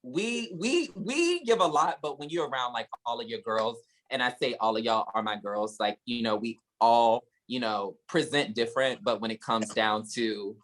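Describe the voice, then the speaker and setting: A young man hosting a casual podcast chat, animated, laughing often and swearing playfully. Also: medium pitch, normal speed, few pauses, poor audio. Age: young adult. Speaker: podcast host.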